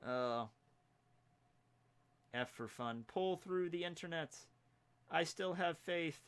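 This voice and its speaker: Brief: twenties male streamer, bright and clean tone, chatty, talks through what he is doing. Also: a little high, slow, clear, resonant tone. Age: 20s. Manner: chatty, self-narrating while fixing stream problems. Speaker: stream host. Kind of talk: casual live-stream chat. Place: home room.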